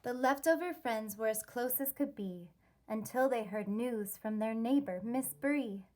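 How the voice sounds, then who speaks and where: A woman teacher reading a rhyming children's story aloud, expressively and with deliberate, careful wording. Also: a little high, a little mellow.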